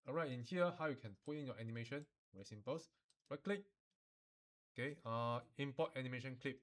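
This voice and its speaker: A man with a medium-pitched voice teaches software step by step. He has a Malaysian or Singaporean accent and an informal, colloquial way of phrasing instructions, often ending phrases with local particles. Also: fairly quick. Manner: colloquial phrasing with sentence-final particles like lah and lor.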